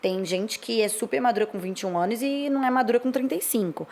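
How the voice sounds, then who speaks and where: A woman's voice: on the high side, fast, a little mellow. A woman in her thirties from Brazil, co-hosting a casual chat and speaking candidly and reflectively in long stretches. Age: 30s. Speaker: co-host.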